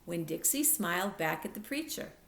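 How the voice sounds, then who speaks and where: A woman reading a children's chapter book aloud expressively, with careful, deliberate storytelling delivery. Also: lowish voice, big pitch swings, vocal fry, a bit bright, reverberant.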